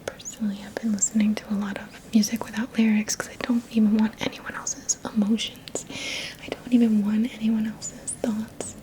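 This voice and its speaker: A woman whispering breathily in a soothing ASMR style as a Reiki teacher guiding an energy-healing session, often repeating soft phrases. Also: medium pitch, level tone. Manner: slow, soothing, repeats words and phrases in a trailing murmur.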